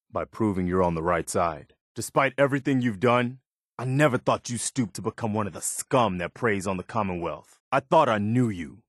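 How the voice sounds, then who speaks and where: A man acts out an earnest militia officer for a video game. His voice sits fairly low and is recorded dry and close. He speaks sincerely and plainly, as a loyal, principled companion with firm morals. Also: no echo, natural voice.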